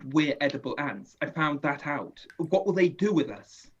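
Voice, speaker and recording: A man's voice: a little high, very animated, slightly rough. An actor playing a weary survivor who narrates his own journey and speaks hesitantly, at times unsure, in a radio drama, recorded on a dull lo-fi mic. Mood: uneasy. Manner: hesitant, uncertain in dialogue; measured in narration. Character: weary male survivor wandering a ruined land. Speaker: narrator and main character.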